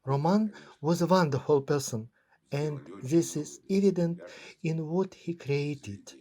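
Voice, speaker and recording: A man speaking a dubbed documentary voice-over in a slow, solemn reading. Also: a little high, warm tone. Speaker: voice-over translator of an interviewee.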